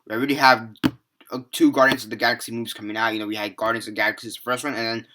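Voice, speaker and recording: A man's voice: medium pitch, little pitch movement, racing, pushed and bright. A seventeen-year-old young man giving a rambling, casual movie review, full of fillers, restarts and the odd swear word. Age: teens.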